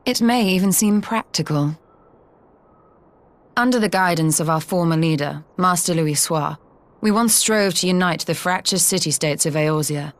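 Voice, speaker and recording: A woman's voice: on the low side, normal speed, dry recording, close to an everyday voice. A woman playing a cool, scholarly sage in a fantasy game, precise, formal and matter-of-fact. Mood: composed. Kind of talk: scripted fantasy game acting.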